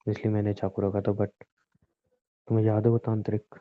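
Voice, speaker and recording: Man playing a low, even-voiced devoted husband. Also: fairly quick, no room sound, natural voice. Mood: earnest, explaining. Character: devoted, reassuring young husband, lightly bossy and teasing. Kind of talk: romantic phone-call style audio drama in Hindi. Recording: muffled, narrow phone-like audio.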